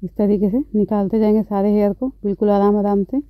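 A woman beautician calmly explaining eyebrow threading step by step, as she works, often repeating her phrases. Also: mid-range voice, a little fast, no room sound, narrow, tinny audio. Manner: calm, instructive, repeats phrases. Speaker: beautician, channel host. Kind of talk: step-by-step tutorial narration.

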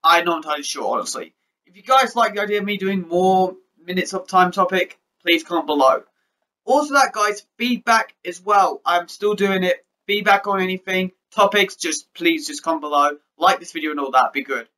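A man's voice: high-pitched, normal speed, bright, forceful tone. A young man talking casually to a webcam in his bedroom, rambling with frequent restarts and a stutter. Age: young adult. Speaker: YouTuber. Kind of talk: casual vlog update. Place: bedroom with posters, indoors.

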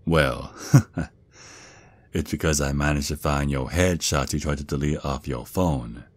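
A man's voice: rumbling low, a little slow, dry recording. A man playing a menacing, mocking, protective werewolf father with a Southern US accent, drawling and taunting in an ASMR roleplay. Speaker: audio roleplay performer.

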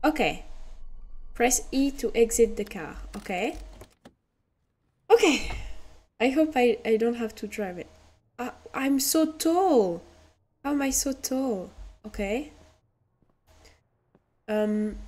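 A woman's voice: on the high side, expressive, slow pace, pauses often, a little mellow. French-accented woman thinking aloud. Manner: hesitant, thinks aloud with self-corrections. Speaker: VTuber streamer. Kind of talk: casual game commentary.

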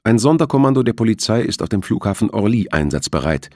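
A man's voice: on the low side, very animated, fast talker, darkish tone, dry recording, studio quality, pitched above the performer's own voice. A man voicing a businesslike French police official giving clipped reports in an audiobook.

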